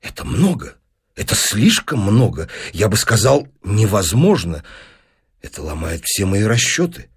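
Man acting a low, anxious, hesitant professor. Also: very animated, slightly rough, heavily acted. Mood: tense, doubtful. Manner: halting, quiet, heavy-hearted. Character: anxious, hesitant male professor, subdued and doubtful. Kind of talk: audiobook character dialogue.

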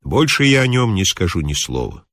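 A man voicing a kindly, talkative old mountain healer in a story, with a calm, instructive, measured manner. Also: low-pitched, no echo, studio quality, full character voice. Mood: kindly, firm.

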